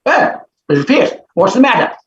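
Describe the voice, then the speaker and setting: A man performs a robust, energetic professor in a stage play, brisk and genially overbearing. His pitch swings widely as he stammers into his thoughts. The recording is echoey, with some background noise. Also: full character voice. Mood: instructive, brisk. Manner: hesitant stammering starts, chuckling, brisk. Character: robust, impetuous, energetic phonetics professor of forty, genially bullying. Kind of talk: staged reading of a classic play. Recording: echoey, dull, noisy video-call audio.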